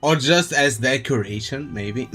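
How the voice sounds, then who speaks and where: A man's voice: medium pitch, a little slow, fairly bright tone, breathy edge, soft background music. A man doing casual, musing live commentary as he streams a game, rambling and swearing casually.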